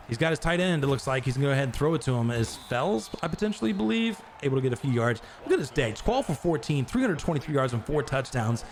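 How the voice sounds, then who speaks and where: A man doing live play-by-play commentary on a video game stream, getting loud on big plays and chatting with viewers between them. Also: slight background noise. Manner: excitable, jumps to high shouts on big plays.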